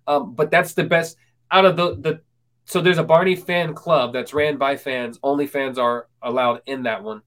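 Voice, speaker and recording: A man's voice: medium pitch, normal speed, fairly bright tone. A man in his twenties hosting a casual interview, full of ums and restarts. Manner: hesitant, many ums and restarts. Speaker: podcast host.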